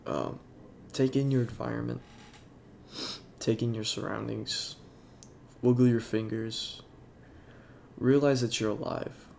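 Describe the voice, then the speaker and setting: Gravelly young man, soft and halting. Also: medium pitch, steady tone, a little hiss or hum. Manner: halting, soft-spoken, many fillers. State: congested, sniffling. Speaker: YouTuber. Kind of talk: casual talk over gameplay.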